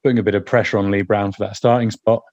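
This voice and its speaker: A man with a fairly low, hoarse, croaky voice talks football in a loose, rambling way, often stopping to restart his sentences. His voice sounds dry and close, with a slightly dull, muffled tone like an online call. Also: steady tone, quick pace, a little mellow, no room sound. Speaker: podcast co-host. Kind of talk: casual football chat.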